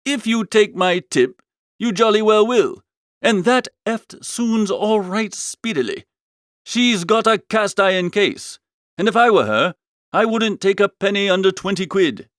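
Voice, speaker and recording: A man playing a foppish, slangy young upper-class gentleman, earnest and indignant, in comic storybook dialogue. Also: high voice, lively intonation, measured pace, dry recording, clean studio sound. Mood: severe, indignant.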